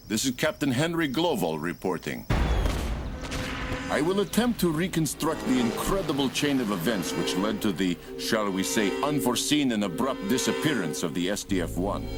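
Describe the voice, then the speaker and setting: An actor playing a grave veteran starship captain, giving a formal, solemn report as narrator in a measured way. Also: male voice, lowish voice. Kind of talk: scripted dramatic narration.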